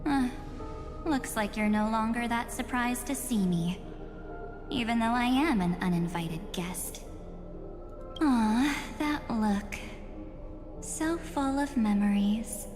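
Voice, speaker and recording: A woman performs a mysterious, softly teasing young lady from a video game. She takes her time in a mid-pitched, coy voice that trails into breath. It rings with long reverb over music. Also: lightly acted. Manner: soft, coy, unhurried, with breathy trailing ends. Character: enigmatic, gentle, teasing shadowy young woman of memory. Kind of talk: dramatic video game dialogue. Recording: reverberant hall sound under music.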